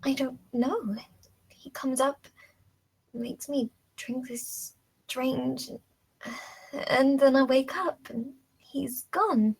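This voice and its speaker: A woman playing a gentle, sickly young captive in a drama table read, with a soft, breathy voice. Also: high voice, drawn-out words, long pauses, no echo, full character voice, rough recording. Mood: uncertain.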